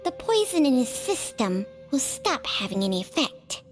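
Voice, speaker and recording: Female game companion, calm, reasoning, measured delivery, music behind. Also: sits high. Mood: grave, explaining. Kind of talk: video game voice acting.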